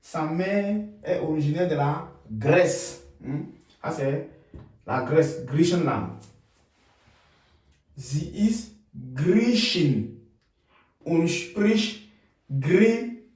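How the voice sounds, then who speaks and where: A man from Cameroon teaching German in French, repeating phrases slowly and adding checking tags like "hmm?". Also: fairly high pitch, darkish tone, heavy reverb, far from the mic, low-quality recording. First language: Ngamba. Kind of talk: bilingual language lesson.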